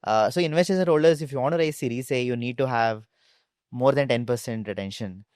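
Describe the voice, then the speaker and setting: A man's voice: mid-range voice, a little fast, a little mellow, clean tone, no room sound, studio quality. A man with an Indian accent, a co-founder telling stories casually in an interview and peppering his speech with "like". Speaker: startup co-founder being interviewed.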